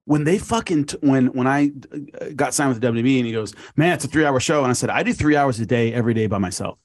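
A man's voice: mid-range voice, quick pace. A man calling in as a guest on a radio show, talking bluntly and profanely over a headset. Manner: blunt, profane, matter-of-fact. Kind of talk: casual call-in chat.

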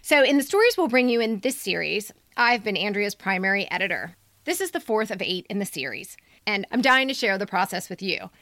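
A woman co-hosting a podcast, introducing it and bantering in a casual, blunt and animated way. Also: medium pitch, a little fast, dry recording, studio quality. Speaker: podcast co-host and editor.

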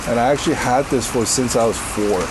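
A deep-voiced man from Korea chats loosely through interview questions, full of fillers and easy laughter. His voice sits in an ordinary middle pitch. Heavy rain patters loudly behind him. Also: a little fast, background noise. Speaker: interview guest. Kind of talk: casual interview answers.

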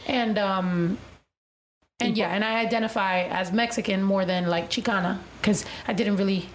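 A woman's voice: mid-range voice, steady tone, normal speed, a little hiss or hum. Chatty young woman host, rambling. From United States. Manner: rambling, switches between English and Spanish mid-sentence, many fillers. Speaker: vlog host. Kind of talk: casual bilingual chat.

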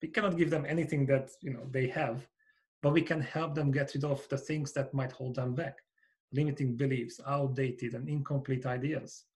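A man's voice: mid-range voice, fairly even intonation, normal speed, darkish tone. A man from Hungary with a Hungarian accent, a company founder and coach speaking earnestly as a podcast guest, letting his phrases fade at the ends. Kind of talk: podcast interview.

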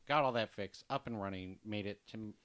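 A man's voice: on the low side, moderate pace, fairly bright tone, clear, resonant tone. A man co-hosting a casual chat, rambling along with lots of fillers and restarts.

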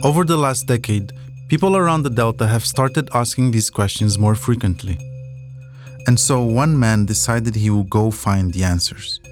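A man narrating a scripted documentary podcast, telling the story dramatically with deliberate pauses. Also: mid-range voice, over music.

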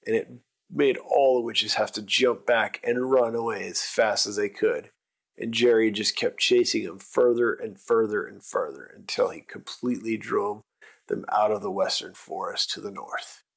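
A man's voice: lowish voice, steady tone, moderate pace, gravelly. A man narrating an improvised bedtime story for children, with simple wording and emphatic repetition.

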